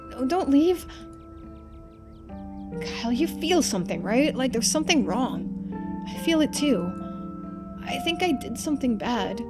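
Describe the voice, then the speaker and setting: Woman voicing a high, anxious young boy. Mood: pleading, then anxious, confused. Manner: halting, pleading, anxious. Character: distressed, confused young boy in a mystery game.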